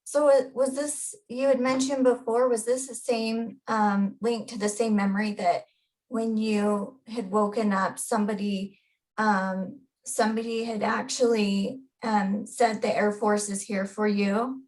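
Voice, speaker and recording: A woman hosting a conversational interview, asking gentle, halting questions with fillers. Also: medium pitch, level tone, rough recording. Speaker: hypnotherapist and interview host.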